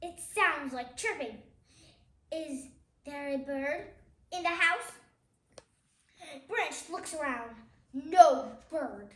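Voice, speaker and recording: A high, young child's voice reads a storybook aloud at a slow, halting pace. Casual side comments break up the reading, and the room around the voice is echoey. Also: expressive, sharp tone. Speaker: child reader, host of a reading channel. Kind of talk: reading a picture book aloud with casual asides.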